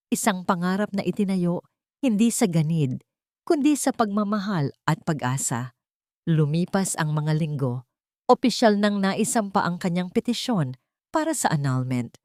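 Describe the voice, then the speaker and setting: A woman narrating a scripted romantic drama story in a measured, sentimental way. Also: on the low side, darkish tone, no echo, clean studio sound.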